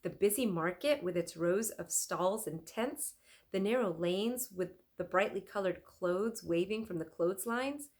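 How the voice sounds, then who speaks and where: A woman teacher reading a children's story aloud in an expressive, deliberate manner. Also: mid-range voice. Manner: deliberate, clearly phrased, animated.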